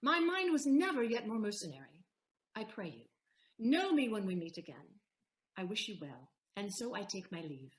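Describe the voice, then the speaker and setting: A woman acting a shrewd, poised young lawyer in a Shakespearean audio play, speaking formally and deliberately with a teasing edge. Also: medium pitch, very animated, reverberant, lightly acted. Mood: composed, formal. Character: shrewd, poised Portia disguised as a male lawyer.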